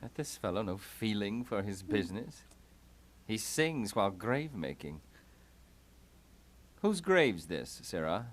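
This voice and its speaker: A man playing a thoughtful, melancholy young prince in a puppet-show Shakespeare, speaking in a measured, theatrical way. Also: lowish voice, sing-song, heavily acted. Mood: inquisitive, wry.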